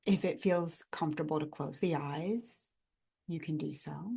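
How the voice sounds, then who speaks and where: A woman guiding a body scan meditation in a gentle, calm, instructional manner, in measured phrases with pauses between them. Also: sits low, gravelly, slightly rough. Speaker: meditation guide.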